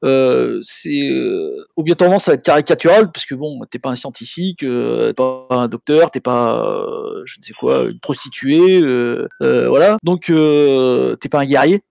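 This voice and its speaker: A man giving his opinions as a panelist in a casual discussion, speaking haltingly with many colloquial tags. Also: medium pitch, vocal fry, a little mellow, no echo. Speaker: panelist on a role-playing games show.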